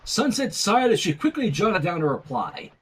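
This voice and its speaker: High-pitched, very animated male story reader. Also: moderate pace, husky. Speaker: reader of fan fiction. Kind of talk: animated story reading with casual asides.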